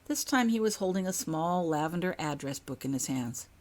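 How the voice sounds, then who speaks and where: A woman's voice: lowish voice, lively intonation, normal speed, darkish tone. A woman narrating a scripted story as an audiobook, reading her own fiction.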